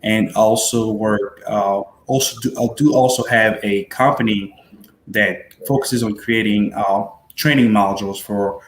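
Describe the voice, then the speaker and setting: Haitian-raised man, halting, echoey room. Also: on the low side, steady tone. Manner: halting, many fillers and repeated words. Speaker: panel guest, hospital administrator. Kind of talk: self-introduction on a webinar panel.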